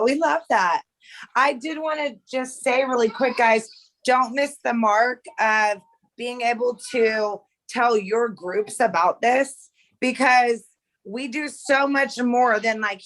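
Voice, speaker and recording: Bright, energetic woman coaching her team. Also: a little high. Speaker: team leader, host. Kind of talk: casual pep talk on a live call.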